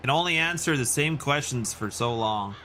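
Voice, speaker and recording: A man's voice: medium pitch, animated, moderate pace, pushed and bright, a little hiss or hum. A Canadian man chatting casually and in a rambling way as he hosts a video game livestream and responds to his chat. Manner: rambling, reacting to chat messages.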